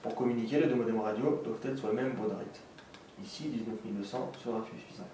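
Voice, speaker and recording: Echoey, mellow-voiced man presenting a tutorial. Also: medium pitch, fast. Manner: instructional, methodical. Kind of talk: step-by-step technical product tutorial. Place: indoor room with desk and green backdrop. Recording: echoey room, distant mic, faint noise.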